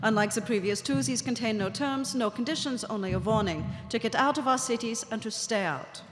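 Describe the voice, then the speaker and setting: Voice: medium pitch, a little fast, a bit bright, soft background music, natural voice. A performer playing a stiff German wartime propaganda announcer in a live audio drama. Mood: stiff, indignant. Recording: echoey hall, faint background noise.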